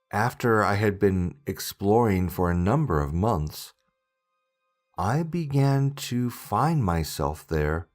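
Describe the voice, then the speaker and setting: A man reading a scary story as scripted narration, in a dramatic storytelling manner with deliberate pauses. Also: on the low side. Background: background music.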